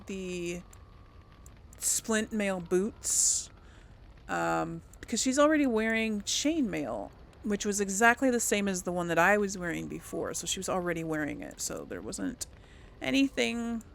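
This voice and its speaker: Unhurried woman giving casual game commentary. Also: medium pitch, a bit bright, a little hiss or hum. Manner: unhurried, thinking aloud. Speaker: gaming video host.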